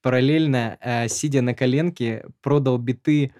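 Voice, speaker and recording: Male podcast host, casual, slangy, rambling, mid-pitched voice. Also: steady tone, normal speed, darkish tone.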